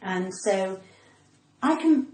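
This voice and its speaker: A woman's voice: mid-range voice, expressive, drawn-out words, dark tone. A woman with a cut-glass English accent, chatting casually as a comedian guest with dry, wry humour. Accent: English, cut-glass received pronunciation. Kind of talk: casual interview chat. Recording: echoey room, faint background noise.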